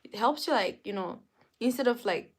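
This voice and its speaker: A woman's voice: fairly high pitch, expressive, moderate pace. A woman livestreaming in casual chat, whose first language is not English, talking straight to her viewers and repeating herself often. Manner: talks straight to the chat, repeats phrases over and over. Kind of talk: casual live-stream chat.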